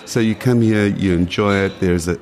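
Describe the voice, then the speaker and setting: A man's voice: on the low side, normal speed, crowd noise. A man in his late forties from South Africa with a South African accent, rambling and restarting as he chats in an interview. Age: 40s. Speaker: guest. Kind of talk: casual interview.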